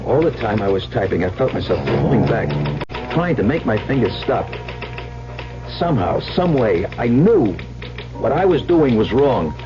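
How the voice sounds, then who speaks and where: An actor playing a frightened, weak-willed working man in a vintage radio drama, agitated, halting and pleading. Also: mid-range voice, big pitch swings, over music, close to an everyday voice. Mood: distressed. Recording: muffled old radio recording with hiss.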